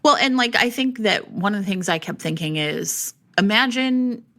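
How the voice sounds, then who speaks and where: A woman's voice: mid-range voice, lively intonation, normal speed, bright, forceful tone. A woman co-hosting a podcast in casual chat, talking loosely with lots of "like" and "right?". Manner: chatty, heavy use of "like" and "right?". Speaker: podcast co-host. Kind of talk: casual book discussion.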